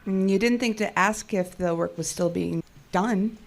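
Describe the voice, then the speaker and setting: A woman's voice: mid-range voice, a little slow, creaky. A woman acting the part of a practical, skeptical technician in an audio drama, with a dry, matter-of-fact delivery. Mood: guarded, skeptical. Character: practical, skeptical, dry-witted female cell tower technician. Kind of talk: naturalistic audio drama dialogue.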